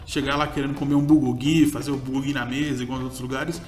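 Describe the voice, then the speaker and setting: A man's voice: mid-range voice, little pitch movement, quick pace, darkish tone, soft background music. A man, co-hosting a casual food chat and often groping for names. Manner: chatty, gropes for names, laughs easily. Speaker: podcast co-host, food reviewer.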